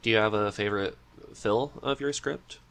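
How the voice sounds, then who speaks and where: A man's voice: on the low side, moderate pace, creaky, fairly bright tone, smooth. A man hosting a casual interview podcast, agreeable and echoing what the guest says.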